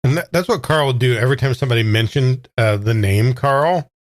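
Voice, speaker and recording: A man's voice: medium pitch, a little fast, no echo, studio quality. A man casually narrating his character's actions as a player in a tabletop roleplaying game.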